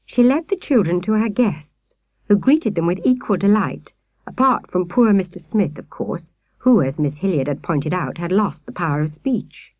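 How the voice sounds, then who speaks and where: A woman reading a children's audiobook in an expressive storytelling manner. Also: fairly low pitch, moderate pace, no room sound. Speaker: audiobook narrator. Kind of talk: scripted children's story narration.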